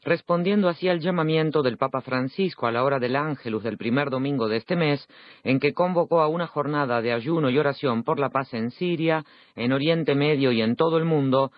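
A man's voice: mid-range voice, no echo. Guy reading radio news, formal, dry dull studio sound. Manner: measured, formal delivery. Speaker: news presenter.

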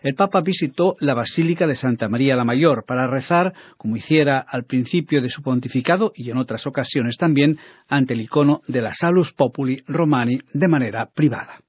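A man's voice: medium pitch, brisk, breathy edge, no room sound, dull audio. A man presenting a news item in a formal reading voice.

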